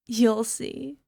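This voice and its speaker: A woman playing a young, sweetly possessive yandere alchemist girlfriend in an ASMR roleplay, coaxing softly and sometimes slipping toward a whisper. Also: fairly high pitch, gravelly, husky, no room sound, clean studio sound, exaggerated voice. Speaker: voice actor. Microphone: close microphone, dry.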